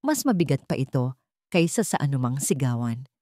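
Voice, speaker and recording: Mellow, even-pitched woman storyteller. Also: moderate pace, dry recording, clean studio sound. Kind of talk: scripted dramatic story narration.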